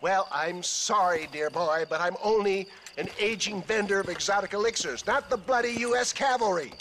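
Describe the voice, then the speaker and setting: A man's voice: high voice, normal speed, fairly bright tone, a little hiss or hum. An actor playing an aging, pompous snake-oil salesman in a western game, florid, theatrical and wheedling. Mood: flustered pleading. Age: older. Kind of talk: theatrical video game acting.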